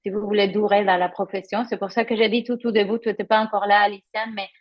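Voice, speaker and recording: A woman with a Spanish accent, a native Spanish speaker, teaching conversationally in a live webinar as a yoga teacher trainer, explaining with personal examples and pausing to find her words. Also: medium pitch, level tone, dull audio.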